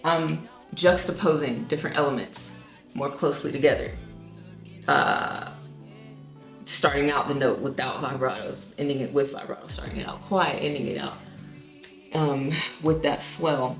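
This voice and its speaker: A young woman, a singer-songwriter, casually explains her own song with many ums and restarts and now and then sings a note; her voice is low. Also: fairly even intonation, normal speed, vocal fry, echoey room, soft background music. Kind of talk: casual music breakdown.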